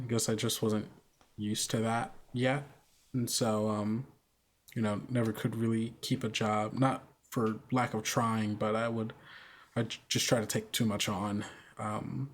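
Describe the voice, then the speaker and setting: A man playing an awkward, down-on-their-luck drifter in improvised tabletop roleplay, speaking in a halting, hesitant way. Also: medium pitch, lightly acted.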